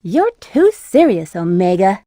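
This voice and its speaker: A woman's voice: medium pitch, very animated, measured pace, mellow, no echo, clean studio sound. A woman voicing a sly, playful bat treasure hunter in a video game, teasing and breezy.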